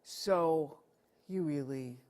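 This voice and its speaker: A woman with a low-pitched voice hosts a talk show. She speaks conversationally, in deliberate and halting phrases, as an interviewer does with a guest. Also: a little mellow.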